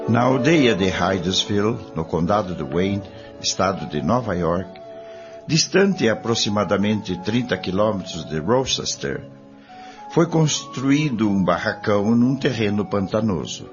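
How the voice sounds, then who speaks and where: A man's voice: lowish voice, lively intonation, a little slow. A man reading scripted narration for a radio drama. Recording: dull lo-fi audio over music.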